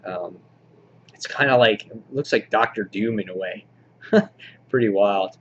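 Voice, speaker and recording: A man giving an informal, halting show-and-tell commentary as a hobby vlog host, in an understated way. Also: fairly low pitch. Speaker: vlog host showing a comic book collection. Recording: dull lo-fi audio, clear background noise.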